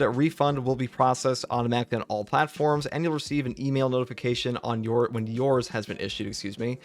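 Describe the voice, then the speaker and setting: A man hosting a gaming channel, casually commenting and reading out update notes. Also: mid-range voice.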